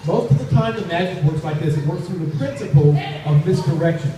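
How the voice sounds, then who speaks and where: A high-pitched man works as a children's magician, repeating his phrases in theatrical, teasing build-ups. He is recorded from a distance in a very echoey space, with clear noise around him. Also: fairly quick. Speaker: children's puppet show magician and host. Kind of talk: live children's magic show patter.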